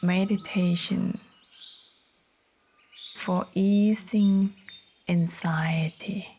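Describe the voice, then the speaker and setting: A woman narrating a guided meditation in a soft, soothing, breathy manner, leaving long pauses between short phrases. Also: on the low side, steady tone, slight background noise. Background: birdsong.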